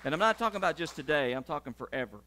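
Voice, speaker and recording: A man's voice: fairly high pitch, rapid-fire, noisy background. A middle-aged man, a pastor giving church announcements in sermon style, talking animatedly as he moves around a large church sanctuary. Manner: animated, gesturing while pacing the stage.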